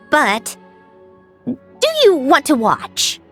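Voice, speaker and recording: A woman playing a proud, prickly sentient machine girl in a video game, curt and impatient but secretly soft. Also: on the high side, heavily acted. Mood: brisk, determined. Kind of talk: scripted anime-style game acting.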